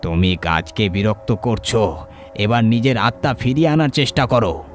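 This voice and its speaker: A man voicing a grave village priest in a horror audio story, speaking in slow, solemn, halting phrases of warning. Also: lowish voice, faint music behind, lightly acted. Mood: stern.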